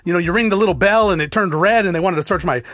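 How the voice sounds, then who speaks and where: A man rants to his viewers at a quick clip, his voice pitched fairly high for a man. He swears freely, restarts his sentences and circles back on the same points. The sound is close and dry, with no room around it. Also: a bit bright, dry recording. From United States. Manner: rambling, profane, repeats himself. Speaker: vlogger. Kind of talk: casual rant to camera.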